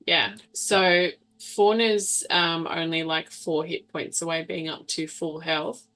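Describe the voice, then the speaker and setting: A woman with a slightly low, bright voice talks as a player in a tabletop game. Her delivery is casual and tentative, as if working out each move aloud. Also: steady tone, slow pace, poor audio.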